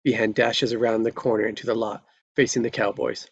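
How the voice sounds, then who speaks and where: A man's voice: fairly low pitch, flat delivery, fairly quick, husky. A man narrating scripted stage directions at a live table read, on a dull recording.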